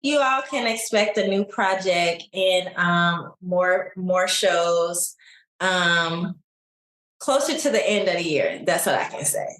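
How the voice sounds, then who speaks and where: A young adult woman from Chicago, a singer, talking in a relaxed, laid-back way in a casual interview. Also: lowish voice, slow. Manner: relaxed, laid-back, many likes and you knows. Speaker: singer guest. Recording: echoey room.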